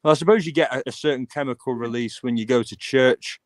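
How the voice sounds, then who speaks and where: A man's voice: medium pitch, fast, dry recording. Lancashire-accented man, dry close sound. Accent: Lancashire English. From England. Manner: musing, repeats words while thinking. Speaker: panelist. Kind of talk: casual panel chat.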